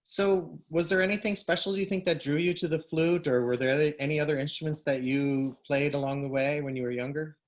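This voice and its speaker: A man's voice: fairly high pitch, steady tone, brisk, few pauses. A man, an orchestra's music director, hosting an online interview and praising his guest. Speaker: host, music director and conductor.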